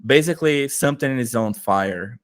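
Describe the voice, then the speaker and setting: Brazilian guy w portuguese accent, eager, lots of uh's, medium pitch. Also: lively intonation, normal speed, no echo, studio quality. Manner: enthusiastic, searching for words, frequent fillers. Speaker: podcast guest. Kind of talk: casual interview conversation.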